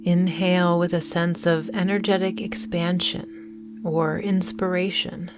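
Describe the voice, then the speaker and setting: Woman, meditation guide, slightly low pitch, very slow pace, calm and soothing, long pauses, gentle music behind. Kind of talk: guided mindfulness meditation narration.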